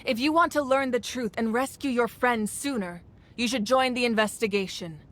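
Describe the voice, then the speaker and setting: A woman voicing a composed, authoritative female ruler in a video game, speaking with measured calm. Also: fairly high pitch, lightly acted. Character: commanding yet gentle female ruler of a nation. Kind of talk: game voice acting.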